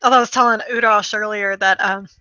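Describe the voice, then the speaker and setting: A woman chatting casually about video games, telling stories in an animated way with acted-out quotes and the odd swear word. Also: medium pitch, fast talker, no echo, dull audio. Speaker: podcast host.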